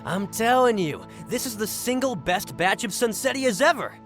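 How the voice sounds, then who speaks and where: High-pitched, wheedling market seller. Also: male voice, lively intonation, normal speed, faint music behind. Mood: boastful. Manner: coaxing, exaggerating salesman. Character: wheedling, boastful male fruit seller. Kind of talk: video game character voice acting.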